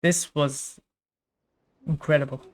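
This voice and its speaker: A woman's voice: deep voice, steady tone, takes it slowly. A woman streaming a video game, giving casual spoken reactions as she plays. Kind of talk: casual reaction commentary while playing a game. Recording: game music behind the voice.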